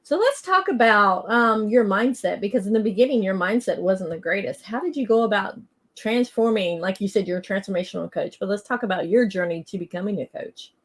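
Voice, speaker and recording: Folksy, upbeat woman hosting a chat show. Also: medium pitch. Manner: upbeat, folksy greeting and quick questions. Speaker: podcast host. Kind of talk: casual interview chat.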